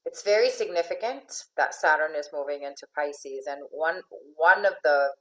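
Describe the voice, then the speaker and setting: A low-pitched woman from Finland who grew up in Venezuela talks to an online audience as an astrological life coach. She speaks loosely and conversationally, with frequent fillers and restarts. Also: normal speed, fairly bright tone, rough recording. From Finland, raised in Venezuela. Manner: chatty with many fillers and restarts, laughs often. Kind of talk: informal talk to an online audience.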